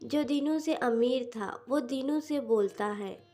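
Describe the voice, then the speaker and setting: A woman's voice: high-pitched, slow, mellow. A woman narrator reading a scripted cartoon story for children. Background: music.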